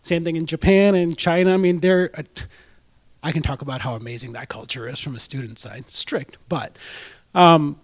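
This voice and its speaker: A man's voice: on the high side, normal speed. A man from India, giving a casual talk to a club audience as a guest speaker and dropping in frequent "right?" asides.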